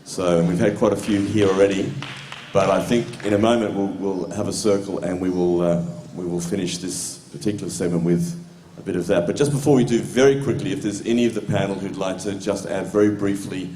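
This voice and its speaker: A very deep, mellow-voiced man moderates a panel, meandering through announcements with hesitant pauses. The room is echoey, with a faint hum of ambience behind him. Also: fairly even intonation. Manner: hesitant, lots of fillers and restarts. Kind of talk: loose, rambling event hosting.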